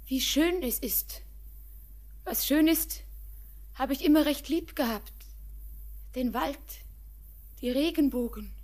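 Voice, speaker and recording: High-voiced, fragile young bride. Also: female voice, darkish tone. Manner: theatrical, lyrical, fervent. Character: fragile, distraught young bride, dreamy, heartbroken daughter. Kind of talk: poetic radio drama acting.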